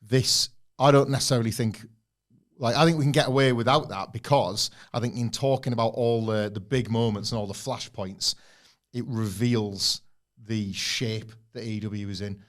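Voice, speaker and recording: A man's voice: medium pitch, steady tone, normal speed. A man co-hosting a wrestling podcast, talking enthusiastically in long rambling takes. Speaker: podcast co-host. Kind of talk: casual podcast chat.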